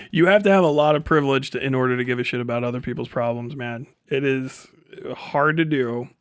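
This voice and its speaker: A man's voice: medium pitch, moderate pace, clear, resonant tone, dry recording, clean studio sound. A man chatting casually as a live streamer, rambling and sardonic, reading things aloud and swearing offhandedly.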